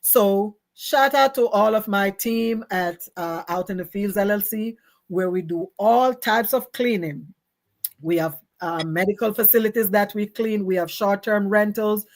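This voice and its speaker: A woman's voice: medium pitch, a little slow. A woman hosting a talk show, chatting in an upbeat, casual way and laughing often.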